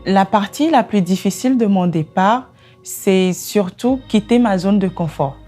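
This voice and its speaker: Woman w beninese accent telling her story, soft dark tone, faint music under. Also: mid-range voice, a little slow. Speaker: documentary interviewee, business analyst. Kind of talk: personal testimony in an interview.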